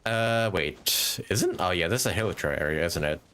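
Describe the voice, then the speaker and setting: A man's voice: sits low, expressive, normal speed, a bit bright, clear, resonant tone. A man doing casual, informal live commentary as he streams a video game. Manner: informal, swearing, chatty. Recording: faint noise behind.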